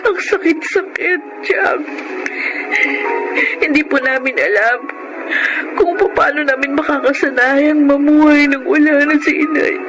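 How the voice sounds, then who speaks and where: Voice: high-pitched, a little slow, low-quality recording. An actor playing a grief-stricken, worried elder sibling in a Filipino radio drama, speaking mournfully and earnestly. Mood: anguished. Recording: loud music bed under the voice.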